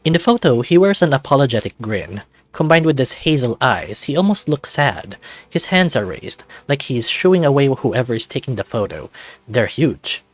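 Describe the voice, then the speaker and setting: A man, a channel host, narrating a visual novel in the first person with an expressive, animated storytelling delivery. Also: medium pitch, moderate pace, no echo.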